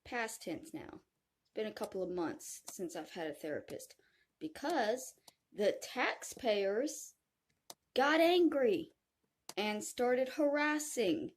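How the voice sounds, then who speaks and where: A woman's voice: a little high, lively intonation, slow pace. A woman in her thirties speaking earnestly to camera in a personal video, complaining. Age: 30s. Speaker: video creator.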